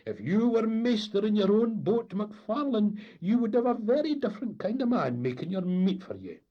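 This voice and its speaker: A man's voice: deep voice, animated, normal speed, slightly rough. A man voicing an emphatic, grumbling ship's engineer. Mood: indignant. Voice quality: emphatic, contemptuous. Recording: dull lo-fi recording.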